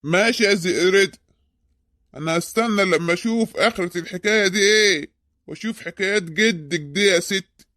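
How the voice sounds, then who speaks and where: A man acts out an impatient little Egyptian grouch from a comic cartoon. His voice is high and closely recorded with no room sound, and he complains in a pushy, confrontational way. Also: a little fast, bright, forceful tone, no echo, studio quality. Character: grumpy, impatient, demanding flea neighbour.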